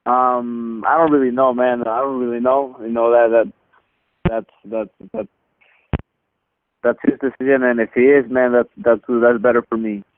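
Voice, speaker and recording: A man speaking as a boxer on a press call, answering casually and haltingly with repeated words. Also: mid-range voice, flat delivery, a little hiss or hum. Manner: halting, repeats words, peppers talk with "you know" and "man". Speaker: boxer being interviewed. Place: phone line on a teleconference. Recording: narrow muffled telephone conference line.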